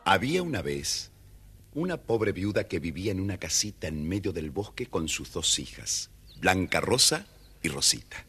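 A man narrating a scripted children's story as the storyteller. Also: low voice. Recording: faint noise, old children's story record.

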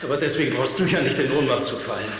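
A man's voice: medium pitch, quick pace, fairly bright tone, close to an everyday voice. A man playing an injured middle-aged father in a radio play, downplaying pain with dry teasing, in a very echoey space. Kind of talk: German radio play dialogue. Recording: strong echo, long reverb, clear noise.

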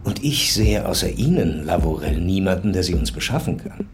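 Very deep-voiced, terse police commissioner. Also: male voice, big pitch swings, normal speed. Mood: commanding. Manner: clipped, businesslike questioning. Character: terse, commanding male French police commissioner. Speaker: actor in audio crime drama. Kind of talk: German radio crime drama acting. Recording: music under the voice.

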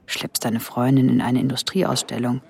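Radio play: sardonic female observer, clipped narration, gravelly fry, faint noise behind. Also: extremely low pitch, level tone, normal speed, dark tone. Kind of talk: scripted narration, radio drama.

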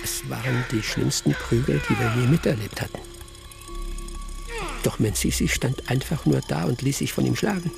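An actor playing a genial older village storyteller in a radio drama, savoring his tale in an unhurried oral manner. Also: medium pitch, lively intonation. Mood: absorbed storytelling. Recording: clear music behind the voice.

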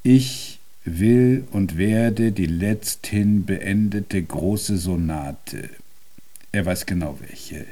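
An actor in a German radio drama playing a stubborn, imperious Viennese composer-pianist, with a formal, deliberate and pompous delivery. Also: male voice, sits low, mellow, heavily acted. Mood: solemn, resolute.

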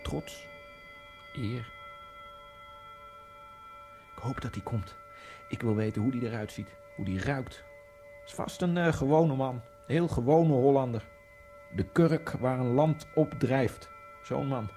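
A man's voice: mid-range voice, slow pace, pauses often, dark tone, soft background music. An actor playing a proud Egyptian immigrant man in a radio play, polite yet insistent and needling. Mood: brooding inner monologue. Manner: polite but insistent, goading, bursts of swearing. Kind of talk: radio drama acting.

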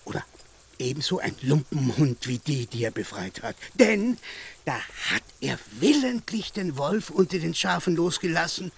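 An actor playing a sly village priest in a radio drama, effusive and teasing, with theatrical flattery. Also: male voice, fairly high pitch, moderate pace, gravelly, breathy edge, heavily acted. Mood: needling.

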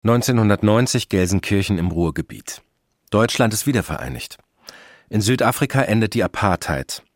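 A man narrating a radio drama about his family in a calm, matter-of-fact way. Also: lowish voice, moderate pace, dry recording, clean studio sound. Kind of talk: scripted narration.

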